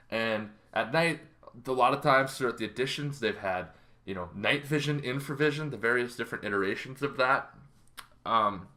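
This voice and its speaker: A man in his thirties hosts an informal tabletop gaming explainer. His voice is bright and forward, at an average male pitch. He talks conversationally, as if to fellow players, from his bedroom. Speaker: host. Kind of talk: casual explainer on tabletop game monsters. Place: bedroom, indoors.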